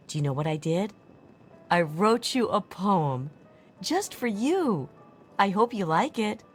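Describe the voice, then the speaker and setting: Game role: fussy, doting elderly lady, unhurried delivery with lively swoops, sweet and grandmotherly. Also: medium pitch, a little hiss or hum. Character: kindly, doting old neighbour lady. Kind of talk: video game acting.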